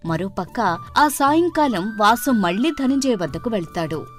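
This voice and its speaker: A woman's voice: medium pitch, sing-song, unhurried, faint music behind. A woman narrating a scripted moral story as its storyteller.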